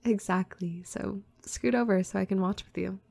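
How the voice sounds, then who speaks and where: A woman playing a playful, teasing young girl next door in an intimate, casual roleplay audio, soft-spoken and giggly. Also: mid-range voice, steady tone, moderate pace, gravelly. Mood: teasing, amused.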